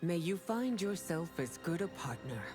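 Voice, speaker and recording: An actress playing a regal, stern warrior empress and mother in a video game, formal and measured. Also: fairly low pitch, big pitch swings. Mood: motherly, grave. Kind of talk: video game character dialogue. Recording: loud music behind the dialogue. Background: music.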